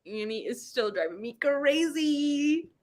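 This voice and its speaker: Bright, high-voiced woman chatting playfully. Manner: playful, exclamatory, slangy, spells out internet abbreviations. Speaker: book club live show host. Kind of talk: casual livestream book chat.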